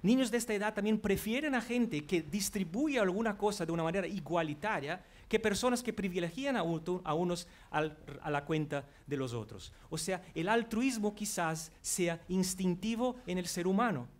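A Brazilian-accented man, a native Portuguese speaker, lectures on psychology with earnest energy. His voice sits fairly high for a man. He speaks into a headset mic in a large auditorium. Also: normal speed, hardly any pauses. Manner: earnest, walks the stage while talking. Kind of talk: public lecture. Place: large auditorium before an audience.

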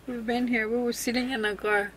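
A young woman vlogging casually with her partner, excitable and gleeful about winning, recorded on a handheld camera. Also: fairly high pitch, unhurried. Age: young adult. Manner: excitable, squeals when winning. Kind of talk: casual vlog chat. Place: bowling alley, then car and bedroom. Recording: noisy handheld camera audio.

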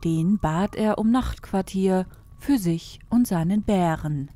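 A woman's voice: mid-range voice, slow, warm tone. A woman reading a fairy tale as a scripted storyteller.

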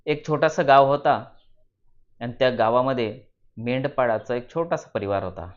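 A man tells a children's moral tale in a mid-pitched voice. He speaks in deliberate, emphatic phrases as a storyteller. The sound is a little dull and lo-fi.

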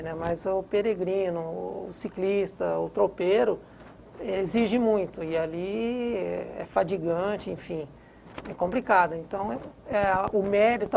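A man's voice: high-pitched, vocal fry, a little mellow, slight background noise. A man in his fifties with a Brazilian accent, informally explaining things as a studio guest on a lapel mic. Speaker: guest cyclist.

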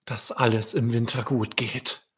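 A man's voice: mid-range voice, little pitch movement, measured pace, a bit bright, close to an everyday voice. A man voicing an anxious, caring mother ladybug who solemnly warns her children in a children's storybook. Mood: worried warning.

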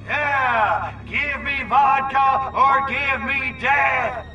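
An actor playing a cocky, wisecracking alien invader in a video game cutscene, sarcastic and blustering. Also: male voice, very high voice, extremely slow, distant mic, dull audio, loud music behind the voice, exaggerated voice. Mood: rallying.

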